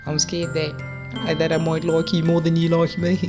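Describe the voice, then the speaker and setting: Man putting on a high tearful lovesick teenage girl. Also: completely flat delivery, normal speed, over music, natural voice. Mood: scared, desperate. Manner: pleading, dramatic.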